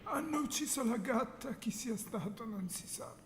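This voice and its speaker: A woman's voice: fairly high pitch, expressive, moderate pace, close to an everyday voice. A woman playing a stern, solemn authority figure in an amateur parody, speaking in a commanding way. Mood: grave. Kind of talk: amateur film parody acting. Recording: very echoey hall, loud music behind.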